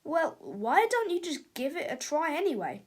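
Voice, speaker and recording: Woman playing a high-voiced plucky schoolboy. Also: big pitch swings, normal speed. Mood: matter-of-fact. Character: plucky cheeky schoolboy in a storybook.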